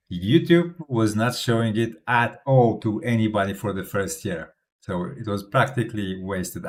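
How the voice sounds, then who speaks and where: A fairly low-pitched man speaks English with a Polish accent, for whom Polish is the native tongue. He talks casually and bluntly to his viewers, restarting phrases and slipping in short laughs as he gives confident advice. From Poland. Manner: chatty, frequent restarts and little laughs. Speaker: crypto YouTuber. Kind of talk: casual talking-to-camera vlog and Q&A.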